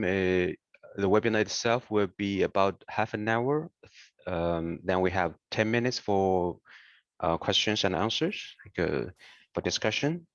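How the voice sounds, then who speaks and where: A man who speaks English as a second language, hosting an informal online tutorial in a careful, halting way. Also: on the low side. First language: not English. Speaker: webinar host and software trainer. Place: indoor room, online webinar. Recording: muffled, roomy online call audio.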